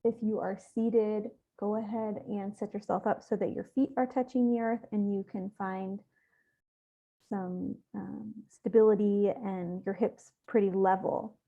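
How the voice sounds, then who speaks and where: A woman leading a guided meditation, speaking gently and without hurry, with soothing instructions and pauses. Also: medium pitch, fairly even intonation, gravelly. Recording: thin, phone-like narrow-band audio.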